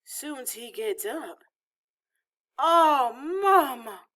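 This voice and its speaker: A woman's voice: sits high, very animated, takes it slowly, a little mellow, pitched above the performer's own voice, full character voice. A woman voicing an anxious, questioning Southern mother in a storybook reading. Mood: upset. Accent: Southern American. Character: worried, upset grown Southern mother.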